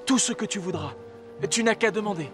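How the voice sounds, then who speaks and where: A man's voice: sits high, a little fast, music in the background, lightly acted. An actor playing an earnest, noble young king in a fairy-tale cartoon, speaking in a courtly, emotive way. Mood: urgent.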